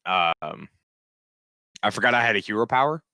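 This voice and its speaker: A man talking over voice chat about a game he just played, self-deprecating and halting as he retraces his mistakes. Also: on the low side, lively intonation, sharp tone, clear, resonant tone, no echo, studio quality. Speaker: guest player. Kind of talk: casual post-match chat. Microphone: voice chat mic.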